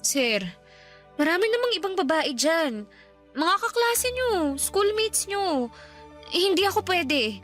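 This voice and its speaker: An actress with a Filipino accent playing a shy, humble teenage housemaid, deferential and hesitant, in a radio drama. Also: female voice, very high-pitched, very animated, unhurried, pauses often, fairly bright tone, soft background music, heavily acted. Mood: reluctant, pleading. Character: shy, humble nineteen-year-old housemaid, self-doubting.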